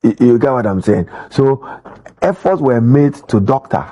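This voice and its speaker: A man with a Ghanaian English accent, an education official answering interview questions in a measured, explanatory way with filler pauses. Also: medium pitch, lively intonation, warm tone, lo-fi sound.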